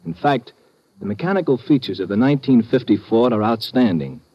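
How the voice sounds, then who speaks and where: A man's voice: mid-range voice, animated, a little fast, husky. A man delivering a scripted customer testimonial in a 1950s radio advertisement. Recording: dull vintage radio recording.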